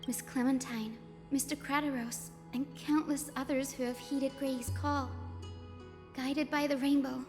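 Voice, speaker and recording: Gentle wistful anime game girl, high voice, lyrical lines, music swelling behind. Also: fairly even intonation, a little slow. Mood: hopeful. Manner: lyrical, storytelling. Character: gentle, wistful young woman, poetic fantasy heroine. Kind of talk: dramatic fantasy game dialogue. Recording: long reverb, loud game music.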